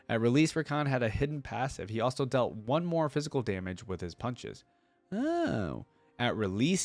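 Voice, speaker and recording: A man's voice: fairly low pitch, dry recording. A man giving casual reaction commentary as a video creator, musing aloud informally and sometimes reading text out.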